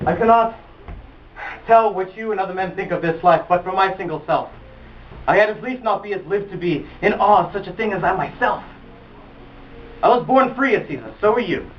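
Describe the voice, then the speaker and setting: A man's voice: sits high, fairly quick, long pauses, natural voice. A young man acting a bitter, envious Roman conspirator in a declaimed Shakespearean stage monologue. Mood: earnest persuasion. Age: young adult. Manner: theatrical declamation, persuasive. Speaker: acting student. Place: acting studio. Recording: distant mic, muffled, faint noise.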